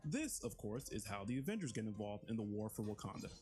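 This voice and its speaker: A man's voice: lowish voice, very animated, fast talker, a little mellow. Man presenting over background music. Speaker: video game narrative writer presenting an expansion. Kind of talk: scripted promotional presentation.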